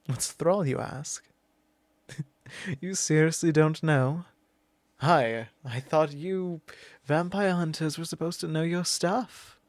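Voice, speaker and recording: A man's voice: a little high, takes it slowly, pauses often, no echo. A man voicing an arrogant, possessive immortal vampire in an ASMR roleplay, talking down in a drawl and sometimes whispering close to the mic. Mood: mocking.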